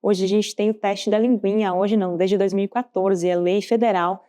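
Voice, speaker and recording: A woman's voice: medium pitch, steady tone, normal speed, warm tone. A Brazilian woman co-hosting a podcast, explaining things conversationally as a health professional and often adding small check-in tags. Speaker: podcast co-host and pediatric dentistry professional.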